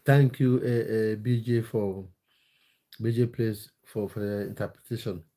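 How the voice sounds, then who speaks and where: A man from Cameroon talks casually to his livestream audience, reading out their comments and replying. His voice is mellow and dark. He hesitates often and repeats himself as he thinks. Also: on the low side, fairly even intonation, a little slow. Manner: reads out viewer comments and answers them, with many fillers and repeats. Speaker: livestream host answering chat. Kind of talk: casual livestream chat about football.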